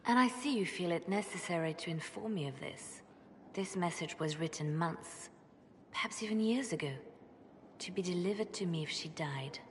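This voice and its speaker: An actress playing a composed, devout, pragmatic spymaster in a video game, speaking in a measured, earnest way. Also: female voice, fairly low pitch, lightly acted. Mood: guarded, serious. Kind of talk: video game cutscene dialogue. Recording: long reverb, faint background noise.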